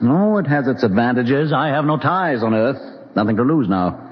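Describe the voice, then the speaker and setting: Actor as a brooding, sardonic space doctor, animated pitch. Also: male voice, close to an everyday voice. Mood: fatalistic, sardonic. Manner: measured, formal, musing, dry irony. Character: brooding, sardonic male scientist, fatalistic doctor aboard spaceship. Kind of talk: 1950s radio drama acting. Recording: muffled vintage radio recording, faint noise.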